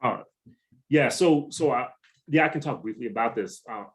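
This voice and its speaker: A man's voice: mid-range voice, normal speed. A man from the United States answering a question in a conversational academic talk, restarting phrases often and using theoretical vocabulary. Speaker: academic speaker.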